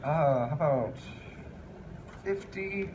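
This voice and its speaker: A man's voice: a little high, animated, slow, muffled recording. A man making casual, spontaneous remarks at a live panel. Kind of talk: casual spoken asides. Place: live convention panel room. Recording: distant voice in noisy panel room.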